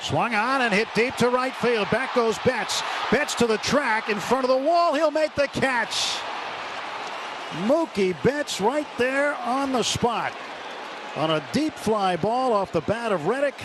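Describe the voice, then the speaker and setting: Male baseball announcer over loud crowd noise. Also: a little slow. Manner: excited on big plays, steady between pitches. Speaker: play-by-play announcer. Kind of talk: radio baseball commentary. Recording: noisy ballpark broadcast.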